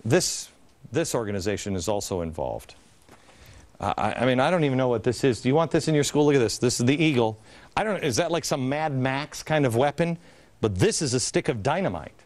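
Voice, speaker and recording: A man's voice: medium pitch, expressive, fairly quick, a little mellow. A man in his forties hosting an opinion TV show in a large studio, talking in an indignant, sarcastic, theatrical way. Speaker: TV host. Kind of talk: opinion TV commentary. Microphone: studio mic, standing at camera.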